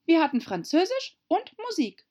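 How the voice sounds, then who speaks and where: A woman voicing a prim, pert little girl in a storybook, full of questions and quick to be indignant. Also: very high voice, huge pitch swings, darkish tone, lo-fi sound, pitched above the performer's own voice, full character voice.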